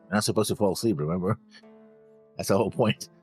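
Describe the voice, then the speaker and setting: A man's voice: fairly low pitch, fairly quick, darkish tone, husky, soft background music. A man co-hosting a reaction show, chatting casually as he reacts to what he watches.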